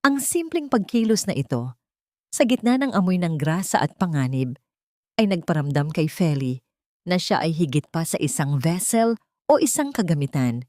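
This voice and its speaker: A woman's voice: fairly low pitch, very animated, moderate pace, a little mellow, no room sound, clean studio sound. A woman narrator reading a scripted, dramatic love story.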